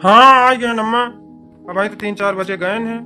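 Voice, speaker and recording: A man's voice: high-pitched, steady tone, moderate pace, pushed and bright, soft background music. A man voicing a weary, dutiful village son and husband who explains himself plainly in an Awadhi cartoon comedy, with an Awadhi accent. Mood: matter-of-fact.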